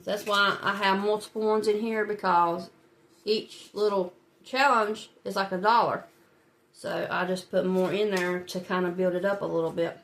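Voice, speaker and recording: A woman's voice: medium pitch, fairly even intonation, normal speed, a bit bright, a little hiss or hum. A woman with a stuffy, head-cold nose, chatting to viewers as she works through her savings challenges. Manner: chatty, talks to viewers while working, small laughs. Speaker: budgeting channel host. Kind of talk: casual talk while filling savings challenges.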